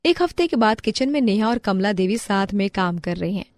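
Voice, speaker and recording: A woman's voice: mid-range voice, big pitch swings, a little fast. A woman narrator reading a scripted family moral story.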